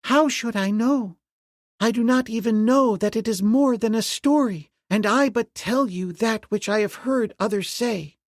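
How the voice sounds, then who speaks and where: Earnest young slave girl, high and fervent, man doing the voice. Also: a little slow, no room sound, studio quality. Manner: earnest, emphatic.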